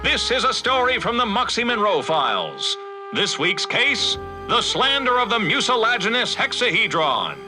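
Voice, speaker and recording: High, swooping radio serial announcer. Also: male voice, big pitch swings, normal speed, low-quality recording. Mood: dramatic. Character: dramatic old-time detective serial announcer. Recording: music bed under the voice. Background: music.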